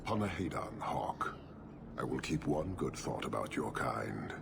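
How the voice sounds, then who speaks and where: A huge, solemn warrior chief from a fantasy game, with an extremely low, creaky voice. He speaks bluntly and with measured command over loud background ambience. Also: sing-song, exaggerated voice. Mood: stern, grave. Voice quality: very deep, gravelly. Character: stern, commanding horned giant male warrior leader. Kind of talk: dramatic video game acting. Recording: loud ambient noise behind.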